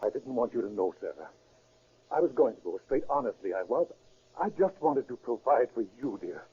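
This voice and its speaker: A man's voice: medium pitch, big pitch swings, moderate pace, warm tone, slightly rough. A man playing a genteel retired clergyman hiding a criminal life, polite and hesitant, in a 1930s radio drama. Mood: pleading. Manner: polite, hesitant, feigning innocence. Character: genteel retired clergyman who is secretly a robber. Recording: dull vintage radio recording.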